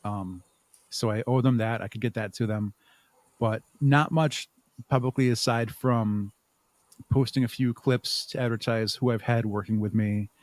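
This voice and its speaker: A man answering interview questions conversationally as a podcast guest, thinking aloud in a halting way with repeated words. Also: fairly low pitch, darkish tone, dry recording. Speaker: podcast guest, audio drama writer and producer.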